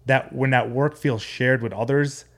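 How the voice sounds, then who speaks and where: A man's voice: mid-range voice, steady tone, measured pace, vocal fry. American man, conversational and earnest. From United States and Jordan. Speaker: podcast guest. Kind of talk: conversational podcast discussion.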